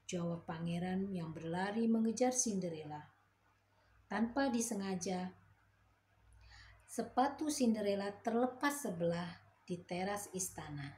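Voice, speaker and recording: A woman gently and expressively narrating a fairy tale for children. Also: lowish voice, roomy sound, a little hiss or hum. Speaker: children's storyteller. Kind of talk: bedtime story narration.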